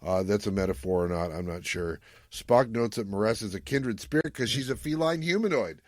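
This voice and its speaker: A man's voice: fairly low pitch, a little fast, no room sound, clean studio sound. A man hosting a podcast, casually recapping an episode and jokily paraphrasing its dialogue. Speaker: podcast host.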